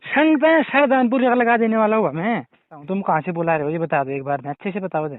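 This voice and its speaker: A high-voiced, rapid-talking man with a Kumaoni accent, pestering the other person with jokey, persistent questions. The sound is thin and phone-like. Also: dry recording. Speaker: prank caller. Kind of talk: comedy prank call. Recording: tinny phone line.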